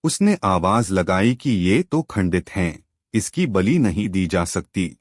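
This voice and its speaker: A man narrating a moral story in a slow, measured way, ending with a lesson for the listener. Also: low voice, sing-song, no echo, clean studio sound.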